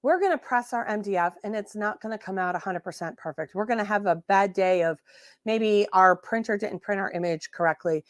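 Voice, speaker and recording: Hoarse woman hosting a crafting live. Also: medium pitch, fairly quick, dry recording, studio quality. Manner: sassy, chatty, self-correcting. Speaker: host. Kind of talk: casual livestream crafting tutorial.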